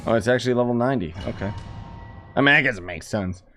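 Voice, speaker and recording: A man's voice: medium pitch, quick pace, fairly bright tone, faint music behind. A man giving casual, sarcastic commentary while he plays a video game. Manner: sarcastic, offhand and joking. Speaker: gaming streamer.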